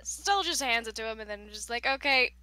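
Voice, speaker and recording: A woman from East Tennessee with a Southern accent, chatting excitably and playfully as a player in a tabletop game. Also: on the high side, quick pace, no echo. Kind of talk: casual chat during a tabletop game.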